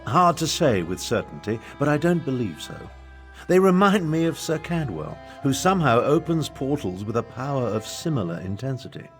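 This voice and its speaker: A man's voice: mid-range voice, big pitch swings, moderate pace. An older man acting a pompous, haughty battle mage and imperial advisor in a video game, with theatrical delivery. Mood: brisk, commanding. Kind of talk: video game character dialogue. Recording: music behind the voice.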